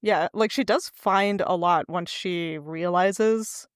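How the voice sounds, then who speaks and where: A woman with a slightly low voice talks casually as a podcast co-host, recorded dry and close. She is playful and teasing, laughs readily, and keeps the discussion loose and conversational. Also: slow pace, clear, resonant tone, no room sound. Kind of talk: casual book discussion chat.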